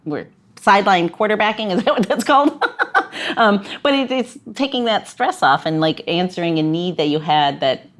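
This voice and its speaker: Lively giggly woman podcast host. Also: medium pitch, moderate pace. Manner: chatty, joking, giggly. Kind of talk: casual podcast chat.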